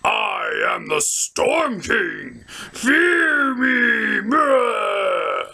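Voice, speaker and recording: A man's voice: sits high, huge pitch swings, drawn-out words, creaky, pushed and bright, husky, heavily acted. A man voicing a whiny, blustering goofball tyrant in a comic puppet show, sulky about being taken lightly.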